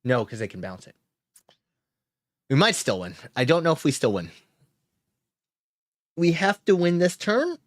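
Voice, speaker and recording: A man's voice: on the high side, very animated, unhurried, pauses often, dry recording, studio quality. A man giving casual gameplay commentary while playing a card game, thinking aloud, chuckling and cheering his wins.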